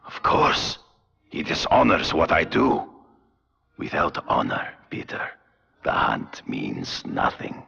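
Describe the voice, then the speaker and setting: A proud, aging master hunter in a video game. His gravelly voice swings widely in pitch, with a forceful edge. He speaks formally and gravely, as a man bound by honor. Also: wildly swooping pitch, takes it slowly, dull audio, heavily acted. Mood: stern, proud. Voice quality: deep, gravelly.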